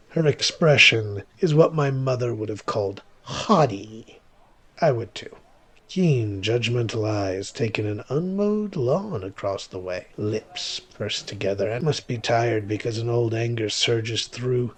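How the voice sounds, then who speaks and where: A man's voice: medium pitch, slightly rough. A man playing a bitter, self-deprecating male witch, narrating his horror story with wry, theatrical emphasis. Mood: brooding, sardonic. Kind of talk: first-person horror audio drama narration.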